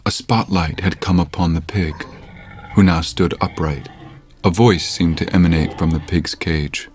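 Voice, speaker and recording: A man narrating a suspense audio drama as a composed, deliberate storyteller. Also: deep voice, steady tone, soft background music. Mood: measured suspense.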